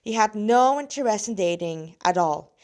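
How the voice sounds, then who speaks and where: A woman reads her own story aloud in a medium-pitched, slightly rusty voice. She is casual and chatty, and she tends to ramble, stopping partway through sentences to add asides before picking up again. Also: animated, moderate pace, darkish tone. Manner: rambling, digressive, often stopping mid-sentence to comment. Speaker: fan fiction author reading her story aloud.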